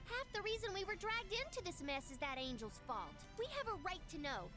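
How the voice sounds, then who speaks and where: A woman's voice: very high-pitched, sing-song, a little fast. A woman voicing a pushy, sassy teenage girl in an anime-style video game, flippant and insistent, over music. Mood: insistent, probing. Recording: loud game music underneath.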